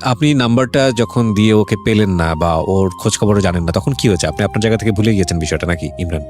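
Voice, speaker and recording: A Bangladeshi male show host speaks in a lowish voice at a brisk pace. He interviews conversationally, probing with follow-ups and dropping in short acknowledgements. Music plays clearly behind him. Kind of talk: conversational interview about life stories. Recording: music bed under the talk.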